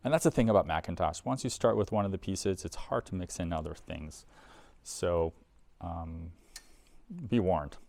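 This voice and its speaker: A man's voice: deep voice, normal speed, vocal fry, a little mellow, no echo. A man giving a casual, explanatory product demonstration in an informal way, as a presenter for an equipment seller.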